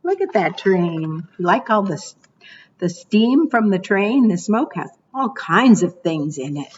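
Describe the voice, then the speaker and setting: A woman librarian reading picture books and leading rhymes for preschoolers in a slow, encouraging sing-song way. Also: mid-range voice, very animated, husky, highs cut off. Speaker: children's librarian.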